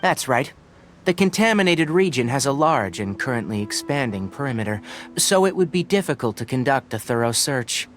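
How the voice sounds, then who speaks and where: An actor playing a cautious young forest scholar in a video game, speaking in a precise, analytical, instructive way over music. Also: male voice, medium pitch, very animated, normal speed, a bit bright.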